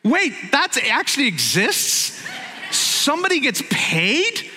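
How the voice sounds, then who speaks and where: A man's voice: very high-pitched, wildly swooping pitch, takes it slowly, bright, forceful tone, long reverb tail, slight background noise. A middle-aged man from Northern Ireland preaching a sermon in a joking, conversational way that keeps breaking off into asides. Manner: jokey, conversational, self-interrupting. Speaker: pastor.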